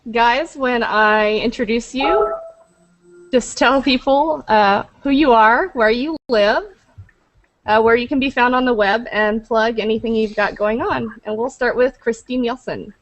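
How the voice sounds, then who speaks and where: A woman's voice: fairly high pitch, unhurried, rough recording. A woman co-hosting a casual online group chat, introducing guests in an upbeat, chatty way. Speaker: co-host.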